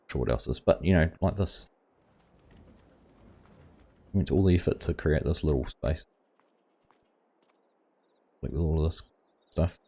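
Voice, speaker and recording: A man's voice: a bass voice, a little slow, pauses often, warm tone, slightly rough. A man hosting a gaming livestream, chatting casually and reading viewers' comments aloud. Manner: rambling, reads chat aloud and answers viewers.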